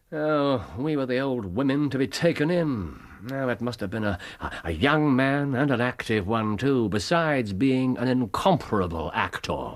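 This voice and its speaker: An actor playing a brilliant, excitable British gentleman detective in a radio drama, wry and theatrical. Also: mid-range voice, a little slow, natural voice. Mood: wry, exasperated. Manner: theatrical, crisp storytelling, wry.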